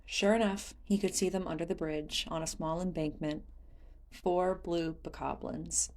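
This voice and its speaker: A woman's voice: on the low side, fairly even intonation, unhurried. A woman reading scripted story narration as the narrator of a fan fiction audiobook.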